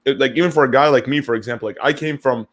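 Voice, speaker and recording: A young man from Syria co-hosting a casual podcast chat, talking in quick bursts with lots of stammered restarts. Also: medium pitch, a bit bright. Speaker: podcast co-host.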